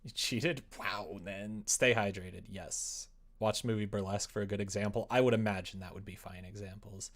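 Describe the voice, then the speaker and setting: A young man chats casually on a livestream while he works, thinking aloud in a halting way and often trailing off. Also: lowish voice, steady tone. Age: young adult. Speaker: livestreamer writing a visual novel. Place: small room at home, indoors.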